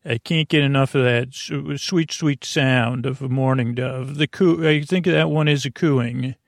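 A man hosting a bedtime podcast, talking through a long, meandering, unhurried intro meant to ease listeners toward sleep. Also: mid-range voice, vocal fry, no echo, clean studio sound. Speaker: podcast host.

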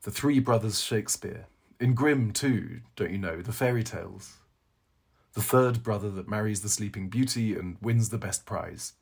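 Eager husky bookish young man, acted by a man. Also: lowish voice, moderate pace. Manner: eager, rambling, quietly pleased. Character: eager, polite young male bookish enthusiast.

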